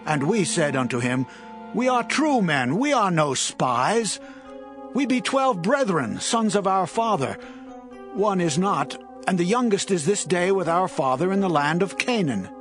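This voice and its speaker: An actor playing an anxious grown brother who speaks urgently in a dramatized scripture reading. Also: male voice, sits high, very animated, a little slow, fairly bright tone, faint music behind, heavily acted.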